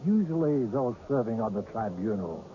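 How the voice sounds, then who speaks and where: A man's voice: fairly low pitch, big pitch swings, normal speed. An actor in a radio drama playing a polite, mysterious older man with a genteel, precise, faintly hesitant manner. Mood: apologetic, assuring. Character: polite, mysterious old scout of seers. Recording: dull, noisy old radio broadcast.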